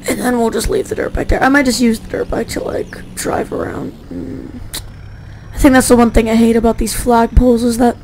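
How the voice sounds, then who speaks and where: A woman's voice: on the high side, level tone, fairly quick, a little mellow, slight background noise. A woman giving casual, rambling commentary over gameplay, her voice a little sore, often correcting herself as she goes. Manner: rambling, self-correcting, chatty. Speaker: gaming YouTuber.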